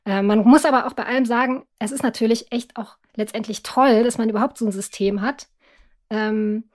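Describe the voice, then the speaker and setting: A woman's voice: fairly high pitch, steady tone, fairly quick, a little mellow. Female interview guest, middle-aged, thoughtful and hesitant, telling her own story in a conversational register. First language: German. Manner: reflective, hesitant, searching for words. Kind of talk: personal account in a conversational interview.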